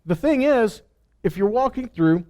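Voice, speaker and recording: A man's voice: high-pitched, lively intonation, measured pace, darkish tone. A man teaching scripture in a sermon, emphatically asking rhetorical questions, repeating phrases and answering himself.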